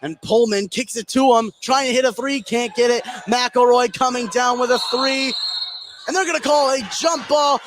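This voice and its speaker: A man's voice: extremely high pitch, steady tone, moderate pace, a bit bright. A man doing live basketball play-by-play on the radio, narrating the action in short running phrases. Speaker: play-by-play commentator. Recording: noisy live gym broadcast.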